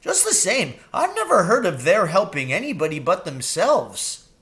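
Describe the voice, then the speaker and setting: A man voicing a young male crow in a children's storybook, chatty, naive and impatient, with an excitable, stammering delivery. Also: sits high, moderate pace, pitched above the performer's own voice, full character voice. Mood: excited, gossipy. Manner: excitable, stammering, swooping upward. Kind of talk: children's storybook read aloud.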